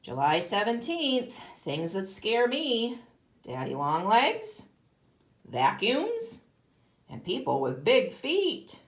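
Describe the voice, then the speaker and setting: A woman's voice: medium pitch, wildly swooping pitch, takes it slowly. Woman reading as an earnest little spider boy, lilting. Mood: wary. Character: young boy spider reading his diary aloud, earnest. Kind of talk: storybook read-aloud.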